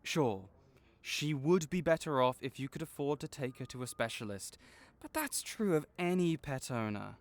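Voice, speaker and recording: A man playing a kind, patient veterinarian for magical creatures in a comforting audio roleplay, explaining things gently and encouragingly. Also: medium pitch, animated, normal speed, few pauses, natural voice. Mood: firm, reassuring. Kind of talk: comforting audio roleplay, one-sided conversation.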